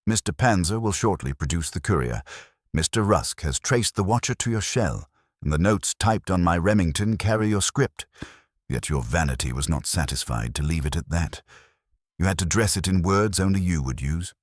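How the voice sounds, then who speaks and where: A man's voice: low voice, lively intonation, normal speed, no room sound, studio quality. A man voicing a heavyset, imperious genius detective in an audiobook, with formal, pompous, precise phrasing. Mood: stern, exacting.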